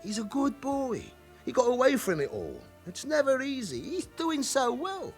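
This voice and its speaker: An actor playing an evasive, chatty forty-year-old London club owner with an underworld past in a radio drama. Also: male voice, very high voice, huge pitch swings, moderate pace, faint music behind, exaggerated voice. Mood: pleading, protective.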